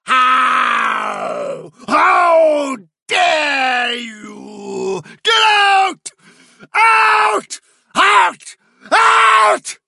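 Fey, high-voiced rabbit seer. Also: male voice, slightly rough, no echo, studio quality, heavily acted. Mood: shrieking frenzy. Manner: slow, drowsy, muttering or yelping. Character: frail, feverish male rabbit seer in a trance. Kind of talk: audiobook character acting.

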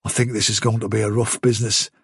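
Man as a husky, wary rabbit fighter. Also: lowish voice, little pitch movement, quick pace, no echo, clean studio sound, pitched below the performer's own voice. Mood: grim warning. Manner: cautionary, serious.

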